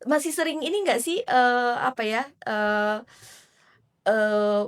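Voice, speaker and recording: A woman's voice: on the high side, lively intonation, slow, smooth. A woman hosting a casual interview, chatty, with many fillers and easy laughs.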